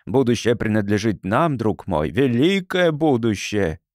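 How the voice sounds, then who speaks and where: A man voicing a brisk, patronizing, smooth-talking mysterious man from the future in an audiobook. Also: fairly low pitch, sing-song, darkish tone, no room sound, studio quality. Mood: assured, coaxing.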